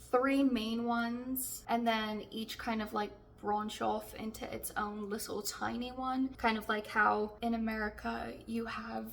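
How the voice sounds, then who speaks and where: A woman's voice: a little high, creaky, fairly bright tone. A young South African woman with a South African accent reviewing a book in a casual chatty vlog, full of "like" fillers. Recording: distant mic with faint background hiss.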